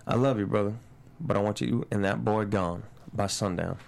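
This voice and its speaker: A man's voice: on the low side, fairly even intonation, moderate pace, creaky, darkish tone. A man playing a proud, guarded rural Mississippi father in a screenplay read, terse and restrained. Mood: pained. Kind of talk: table read of a screenplay drama.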